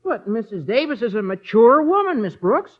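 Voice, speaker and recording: An eager teenage boy from a 1950s radio comedy. His squeaky, very high voice swoops wildly as he gushes politely, on a dull old recording before a studio audience. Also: sing-song, moderate pace, exaggerated voice. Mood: gushing. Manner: gushing, over-polite, breaks into nervous laughter.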